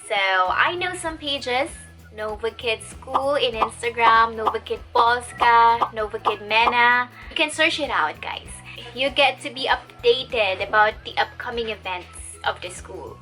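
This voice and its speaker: Upbeat Filipina teacher vlogging. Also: female voice, a little high, bright, forceful tone. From Philippines. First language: Tagalog. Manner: chatty, upbeat, addresses viewers as guys. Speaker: online English teacher and vlogger. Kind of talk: casual talk to camera.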